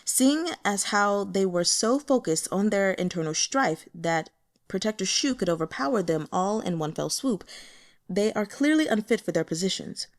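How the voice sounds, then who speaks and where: A woman's voice: mid-range voice, animated, moderate pace, natural voice. A woman voicing a stern, loyal male protector who speaks formally and decisively in a fantasy audiobook. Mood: stern judgment.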